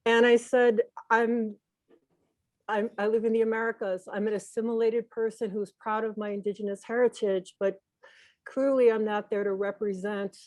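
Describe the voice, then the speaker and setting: A woman's voice: fairly high pitch, little pitch movement, a little mellow, no room sound, low-quality recording. Earnest woman on a dry video call. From Peru. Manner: earnest, reflective, with many pauses and restarts. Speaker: journalist and consultant speaking at a memorial. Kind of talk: spoken tribute on a video call. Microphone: video call microphone.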